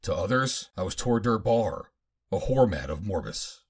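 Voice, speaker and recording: A man's voice: on the low side, big pitch swings, moderate pace, husky. A man narrating a pulp adventure audiobook in the first person, in dramatic fashion.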